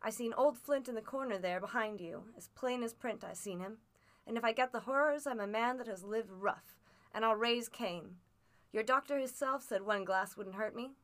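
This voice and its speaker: A woman voicing a sick old sea captain who talks in rough sailor slang, feeble but hearty, in an audiobook. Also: a little high, moderate pace, a bit bright, lightly acted. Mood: desperate. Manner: rough seafaring slang, cursing. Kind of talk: audiobook character voice.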